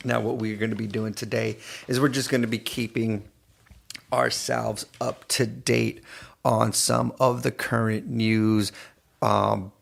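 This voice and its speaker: Laid back radio dj guy, super creaky voice, talks slow and kinda halting. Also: on the low side, little pitch movement. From East Los Angeles, United States. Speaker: radio DJ and host.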